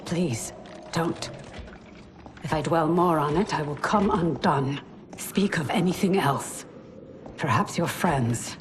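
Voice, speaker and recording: An actress playing a grave, compassionate noble widow in a video game, speaking formally and dramatically. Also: female voice, sits low, slow pace, pauses often, slight background noise. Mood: grieving. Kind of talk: video game cutscene acting.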